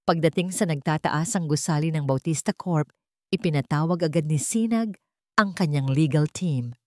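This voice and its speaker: Low-voiced woman narrating a drama, dry recording. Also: moderate pace, warm tone, no room sound. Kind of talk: dramatic scripted story narration.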